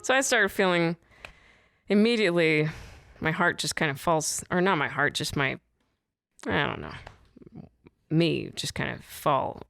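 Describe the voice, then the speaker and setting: A woman comedian guest with a deep voice, telling a rambling, giggly story in casual chat. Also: expressive, measured pace, gravelly, fairly bright tone. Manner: giggly, rambling, self-correcting.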